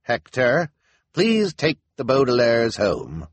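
A man playing a loud, pompous old town elder. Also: mid-range voice, wildly swooping pitch, slow, dry recording, voice pushed up, exaggerated voice. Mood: proclaiming. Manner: loud, declaring.

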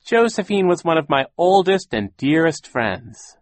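A man's voice: fairly high pitch, wildly swooping pitch, moderate pace, bright, forceful tone, no echo, pitched above the performer's own voice, heavily acted. A man voicing a scheming villainous sea captain who gushes with false sentiment, in an audiobook story. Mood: mock mournful. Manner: theatrical, gushing insincerity.

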